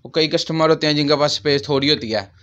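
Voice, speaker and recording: A man's voice: fairly high pitch, fast talker, fairly bright tone, clear, resonant tone, muffled recording. A man in his thirties with a Pakistani accent, a shop owner explaining products and prices in an interview. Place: small crowded furniture shop, indoors. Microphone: handheld microphone held by interviewer.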